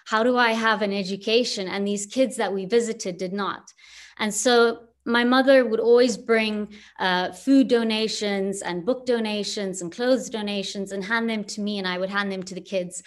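A woman's voice: medium pitch, fairly even intonation, normal speed. A woman from Zimbabwe speaks as an entrepreneur presenting to a webinar audience, sharing her personal journey. Her talk is earnest and reflective, and she often asks rhetorical questions, heard through a video call connection.

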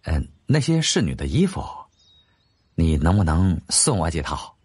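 A man's voice: low voice, big pitch swings, unhurried, a little mellow, breathy edge, dry recording, voice pushed down, exaggerated voice. A man voicing a sheepish yet eager strategist in a historical audiobook, with a wheedling manner. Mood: bashful. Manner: hesitant, wheedling. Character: sheepish, eager, wine-loving male strategist.

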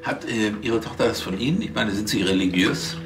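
An actor playing an officious, nosy police officer in a TV crime comedy, speaking formally. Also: male voice, lowish voice, fairly quick, music in the background, close to an everyday voice.

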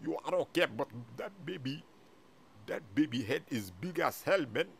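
A man's voice: fairly high pitch, expressive, normal speed, vocal fry, fairly bright tone, slight background noise. A man voicing a blunt, mischievous and rude male sidekick in a comedy skit, with muttered slangy talk. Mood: mocking.

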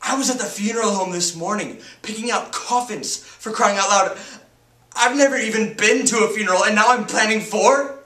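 A man plays a bereaved teenager in a dramatic monologue, with a high, sharp-edged voice. The delivery is raw and halting, moving between hushed and shouted grief. It is picked up from a distance in an echoey room. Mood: angry, disbelieving. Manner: emotional, halting, swinging from whispers to shouts. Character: grief-stricken teenager who lost the whole family.

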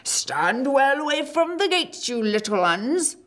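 A woman's voice: sits high, sing-song, unhurried, pitched above the performer's own voice. A woman voicing a big, polite, clumsy male giant who talks in rustic, humble speech. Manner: deferential, rustic common speech.

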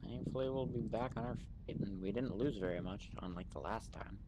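A man's voice: fairly low pitch, a little fast. A young man chatting casually in character as a bar customer in improvised game role-play over voice chat. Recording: faint background noise on game voice chat.

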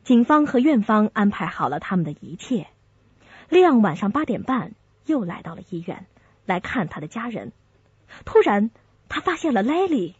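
Lady narrator, dramatic scripted read, expressive pitch, slightly muffled. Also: moderate pace, slightly rough, dry recording. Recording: dull muffled audio over music. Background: music.

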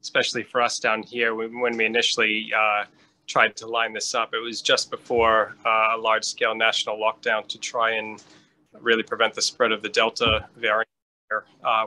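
Measured man on a headset call. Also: on the low side, pushed and bright, low-quality recording. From New Hampshire, United States. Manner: measured, thoughtful, with frequent ums and restarts. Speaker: guest scientist. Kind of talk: interview over video call. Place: lit home room, indoors.

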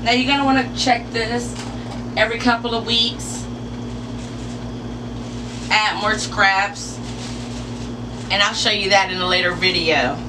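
Slow-paced woman demonstrating, far from the mic. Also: medium pitch, steady tone. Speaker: host demonstrating a how-to. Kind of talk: informal step-by-step demonstration. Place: enclosed porch or sunroom. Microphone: camera mic a few metres away. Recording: distant mic, clearly noisy with background hum.

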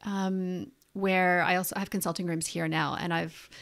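A woman's voice: fairly low pitch, level tone, moderate pace, creaky, fairly bright tone. Middle-aged Canadian woman, expert guest on a video-call interview, friendly and explanatory, close podcast mic. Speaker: naturopathic doctor, guest. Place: small room indoors. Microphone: podcast mic on boom arm.

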